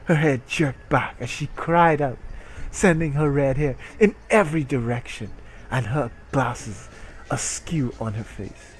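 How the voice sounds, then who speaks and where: A man's voice: medium pitch, a little slow, slight background noise. A man giving a comic dramatic reading of an erotic short story in a theatrical narrating manner. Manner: theatrical narration breaking into incredulous asides and giggles. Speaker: reader performing a dramatic reading.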